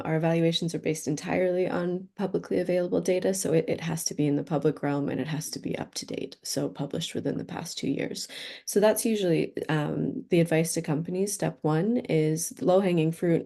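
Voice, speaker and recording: A woman with a mellow, low voice and some gravel answers questions in a webinar. She explains in a measured way. The sound comes from a video call.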